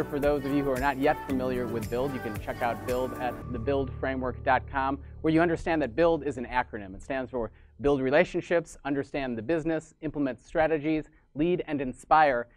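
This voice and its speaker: A man's voice: medium pitch, fairly even intonation, a little fast, few pauses, over music. A man hosting a business interview show, enthusiastic and a little repetitive, speaking from Sydney. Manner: enthusiastic, repeats "amazing", some restarts. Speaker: podcast host. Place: Sydney, Australia. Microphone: video call.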